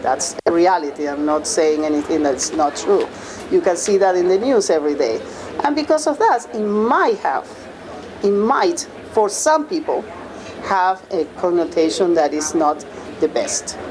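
A woman's voice: lowish voice, big pitch swings, unhurried, noisy background. A middle-aged woman from Peru, speaking thoughtfully and a bit hesitantly as a panelist at a forum. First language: Spanish. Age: middle-aged or older. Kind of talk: forum discussion.

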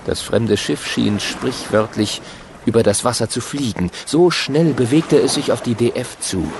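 Gravelly male storyteller. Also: medium pitch, animated, measured pace, a little hiss or hum. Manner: vivid storyteller. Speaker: narrator. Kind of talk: scripted audio drama narration.

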